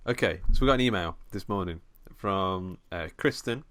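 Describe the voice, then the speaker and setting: A man's voice: deep voice, moderate pace, fairly bright tone, no echo. A man hosting a horror film podcast, chatting casually with a co-host with plenty of fillers and restarts.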